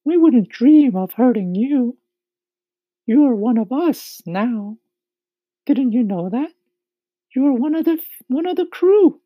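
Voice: medium pitch, big pitch swings, a little slow, no echo, lo-fi sound, voice pushed up. A performer voicing a kindly, motherly female ladybug in a storybook, reassuring and gushing.

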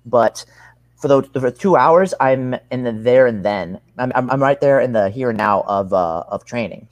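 Young man, medium pitch, casual interview guest, earnest, rambling, frequent rephrasing, dry recording. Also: normal speed, no echo. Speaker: interview guest, combat sports athlete. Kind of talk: casual conversational interview answers.

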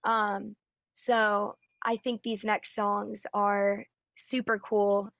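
A woman singer-songwriter with a raspy voice, chatting casually and upbeat in an interview with lots of ums and likes. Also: mid-range voice, flat delivery, very slow, a bit bright, no echo. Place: Nashville area. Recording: dull, dry lo-fi audio.

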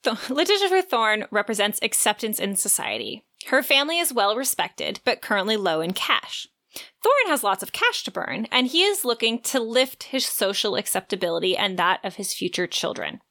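A woman's voice: medium pitch, big pitch swings, normal speed, sharp tone. A woman co-hosting a book podcast, moving between chatty discussion and reading from prepared notes. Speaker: podcast co-host. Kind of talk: book discussion, part read synopsis.

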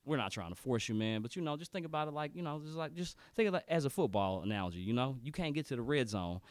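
A man's voice: medium pitch, expressive, fast, no echo. A man in his late thirties co-hosting a podcast in casual chat, laid-back, with lots of "man" and "you know" and hesitant restarts. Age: 30s. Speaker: podcast co-host.

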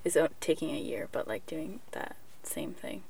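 Very creaky, lowish-voiced woman interviewer. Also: moderate pace, a little mellow, slight background noise, rough recording. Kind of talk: casual conversational interview. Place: inside a camper van.

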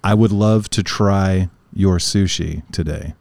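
A man's voice: deep voice, takes it slowly, darkish tone, dry recording. A man in casual, teasing banter with friends, swearing freely and directing the others.

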